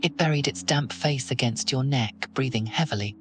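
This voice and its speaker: A man narrating a horror audio roleplay in the second person, with a steady, ominous delivery. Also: mid-range voice, moderate pace, faint music behind.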